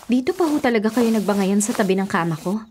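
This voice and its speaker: A woman's voice: mid-range voice, animated, quick pace, darkish tone, slight background noise, close to an everyday voice. A woman playing an evasive young heiress, a fresh graduate, in a Filipino radio drama, slipping English into her pleading. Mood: weary, firm. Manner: pleading, evasive, Taglish-speaking.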